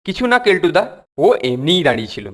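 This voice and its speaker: A man voicing a cheeky, wary young schoolboy in a cartoon, animated and given to complaining and wheedling. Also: fairly high pitch, fast talker. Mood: nervous, sly. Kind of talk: Bengali cartoon voice acting. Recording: music behind the voice.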